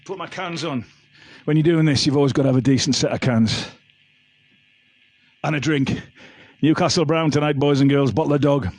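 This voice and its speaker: Slightly croaky man entertaining on a livestream. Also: on the high side, normal speed, long pauses, a little mellow. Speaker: amateur singer hosting a livestream singalong. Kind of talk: casual banter with online viewers; live rock and roll singing with guitar. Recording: home livestream with music behind. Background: guitar and backing music.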